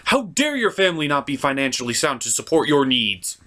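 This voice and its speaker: Male review host, higher-than-average voice, very lively intonation, theatrical and exaggerated scripted comedy delivery. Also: brisk, a little hiss or hum. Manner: theatrical, exaggerated, mock exasperation.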